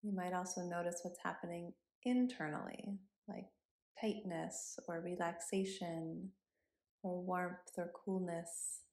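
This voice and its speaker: A woman yoga instructor leading a body scan meditation in a gentle, instructive manner with pauses between cues. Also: on the low side, gravelly. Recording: roomy, echoing sound.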